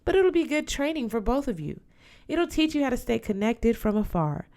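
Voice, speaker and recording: A woman's voice: a little high, sing-song, normal speed, a little mellow, pitched above the performer's own voice. A woman voicing an older godmother aunt in an audiobook, firm, affectionate and dutiful, counselling emphatically. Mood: firm, wise. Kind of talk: audiobook character voice.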